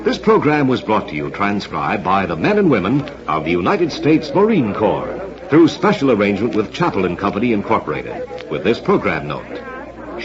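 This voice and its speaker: A man hosting an old radio program, announcing from a script in a formal, theatrical showman's manner on a muffled vintage recording. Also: lowish voice. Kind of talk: 1940s-50s radio announcing, scripted narration.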